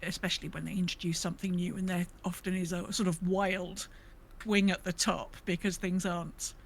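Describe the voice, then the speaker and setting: A woman's voice: lowish voice, level tone, fairly quick, gravelly. A woman co-hosting a podcast, chatting casually about gaming. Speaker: podcast co-host. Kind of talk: casual discussion of a video game.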